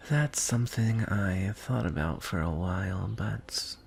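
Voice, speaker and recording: A man voicing a lonely, courtly dragon in a fantasy ASMR roleplay, speaking softly and breathily in measured, formal phrases. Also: low voice, slight background noise. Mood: wistful, longing. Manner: soft, hushed, breathy delivery with deliberate pauses. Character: lonely, courtly male dragon wooing a prince.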